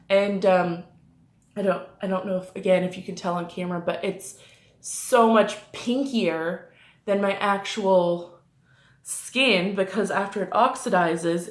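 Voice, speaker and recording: A woman with a mid-range voice and a slight cold, a little snuffly, talking through a makeup review in a loose, rambling way full of ums and likes. She is recorded on a camera mic in a room at home, with a noticeable roomy echo. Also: mid-range voice. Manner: rambling, many ums and likes, self-correcting. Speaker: beauty vlogger. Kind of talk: casual chatty makeup review.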